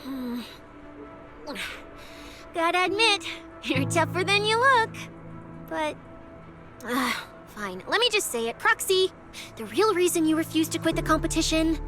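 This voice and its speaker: A woman playing a playful, bossy, easily flustered young police specialist in an anime-style video game, with an expressive, teasing, mock-official delivery. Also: very high voice, moderate pace, pauses often, over music. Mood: probing, mock-stern.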